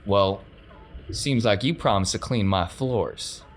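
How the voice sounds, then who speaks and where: A man's voice: lowish voice, slow pace. Man playing a folksy, condescending crime boss, noisy recording. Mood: smug, teasing. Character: shrewd, folksy crime boss who talks down to people. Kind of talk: tabletop game role-play.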